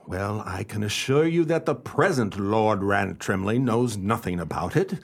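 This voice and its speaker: An actor playing a self-assured, courteous male detective in a radio play, speaking formally and deliberately as he explains. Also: fairly low pitch, animated, gravelly, exaggerated voice. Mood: confident, explanatory. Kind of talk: radio play acting and narration.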